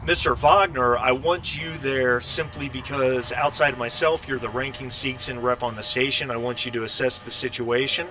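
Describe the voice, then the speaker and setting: Bright-voiced man running a tabletop game. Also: medium pitch, fairly even intonation, normal speed, hardly any pauses, a little hiss or hum. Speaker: game master. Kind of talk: narrating a tabletop role-playing game, improvised.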